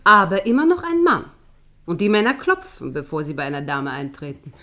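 A vain actress wife in a radio drama, haughty and sure of her charms. She is imperious and mocking, with a coquettish edge. Her lively intonation rises and falls sharply as she talks down to others. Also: fairly low pitch, a little fast.

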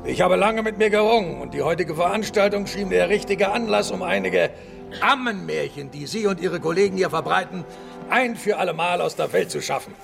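An actor playing a defiant, stubborn male professor in a radio drama, bombastic and sarcastic, with a raspy, gravelly voice. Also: sits high, fairly quick, music in the background. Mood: declaiming. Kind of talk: theatrical German radio drama acting.

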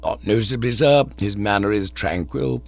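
A man voicing a calm, deferential English valet in a storybook reading, formal and restrained. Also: on the low side, moderate pace, gravelly, no room sound, muffled recording. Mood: tranquil, deferential.

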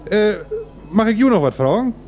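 An actor playing a stubborn, grumbling local man with a Groningen Dutch accent in a radio drama, blunt, gruff and repetitive. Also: sits high, very animated, moderate pace, a little mellow, faint music behind. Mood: indignant. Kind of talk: radio drama street interview.

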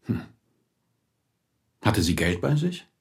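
A man acting in a German radio play as a courteous police inspector who questions in a measured, probing way. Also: deep voice, sing-song, vocal fry, exaggerated voice.

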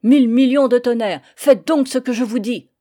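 A woman's voice: a little high, unhurried, voice pushed up, close to an everyday voice. A woman reading aloud, voicing a fierce older male smuggler captain who bellows commanding, defiant orders.

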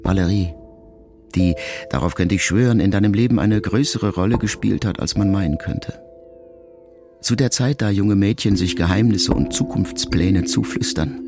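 A very low, gravelly middle-aged man, played by an actor, speaks as a grown son to his dying mother. He is introspective and measured, in the intimate manner of a literary radio monologue. Music plays clearly beneath his words. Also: close to an everyday voice. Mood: probing, reflective. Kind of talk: literary first-person monologue in a radio play. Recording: music under the voice.

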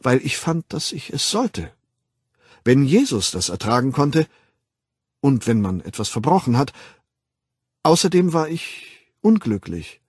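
A man's voice: mid-range voice, big pitch swings, a little slow, pauses often, darkish tone, husky, dry recording, studio quality, pitched above the performer's own voice. A man voicing a naive, dreamy young outsider in an audiobook, declaiming rapturously in old-fashioned words. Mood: earnest, troubled.

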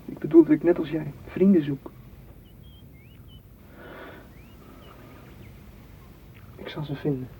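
A man's voice: fairly high pitch, steady tone, quick pace, husky. An actor playing a possessive, wooing man in a radio drama, pressing and reproachful in manner. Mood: hurt. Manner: insistent, reproachful. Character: possessive, wooing suitor seeking warmth and closeness. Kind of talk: literary radio drama acting. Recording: dull, noisy radio play recording.

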